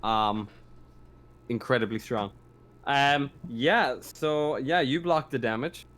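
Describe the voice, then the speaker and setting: A man running a tabletop roleplaying game, improvising narration and rules in a halting, repetitive way. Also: mid-range voice, fairly bright tone. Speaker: game master.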